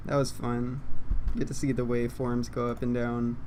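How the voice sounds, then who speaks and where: A man's voice: mid-range voice, little pitch movement, moderate pace, darkish tone. Young guy rambling at his desk, kinda unsure off-script, lots of ums, faint hiss behind him. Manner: casual, self-deprecating, meandering with fillers. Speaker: YouTuber. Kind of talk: unscripted rambling monologue. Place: home room, seated at computer. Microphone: condenser mic. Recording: faint background noise.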